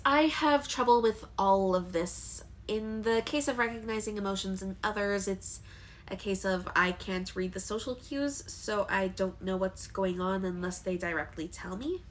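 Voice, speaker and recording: A woman's voice: medium pitch, a little hiss or hum. A young woman with a congested voice from allergies, talking candidly to camera in a personal vlog, hesitant with frequent ums. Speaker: vlogger.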